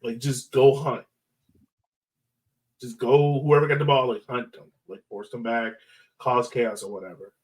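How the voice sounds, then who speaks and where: A man's voice: mid-range voice, steady tone, measured pace, pauses often, darkish tone, distant mic. A man hosting a sports podcast, talking through analysis in an informal, slangy way. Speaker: podcast host. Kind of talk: casual sports analysis chat.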